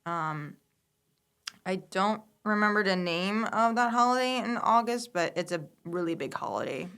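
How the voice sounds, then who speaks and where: A woman's voice: medium pitch, lively intonation, normal speed, fairly bright tone, clean tone. A woman whose first language is Hungarian, a podcast guest, answering hesitantly with many ums. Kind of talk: casual interview.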